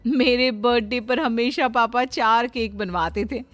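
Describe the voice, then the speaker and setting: Boastful young daughter-in-law, played by a woman: high-voiced, airy tall-tale teller for cartoon family story, light music underneath. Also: unhurried, dry recording, lightly acted. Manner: bragging, dismissive, exaggerating. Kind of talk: Hindi cartoon moral story acting. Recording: music behind the voice.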